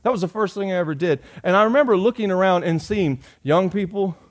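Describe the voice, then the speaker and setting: A man, preaching a sermon in a folksy, joking way, rising loud and dropping soft. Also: sits high, fast talker. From Georgia. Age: 40s. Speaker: pastor.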